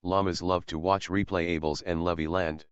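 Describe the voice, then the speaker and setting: A man's voice: sits low, fairly even intonation, normal speed, clean tone, dry recording, dull audio. A man delivering a short scripted announcer tag.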